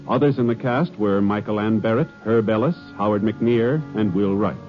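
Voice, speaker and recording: An American man working as a 1950s radio network announcer, reading scripted copy in a polished, formal, measured promotional delivery. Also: on the low side. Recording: dull 1950s radio recording, faint music.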